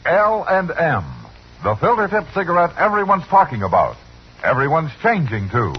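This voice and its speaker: A man's voice: on the high side, big pitch swings, normal speed. A man reading a 1950s radio commercial with a punchy sales delivery. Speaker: commercial announcer. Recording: muffled vintage radio, faint noise.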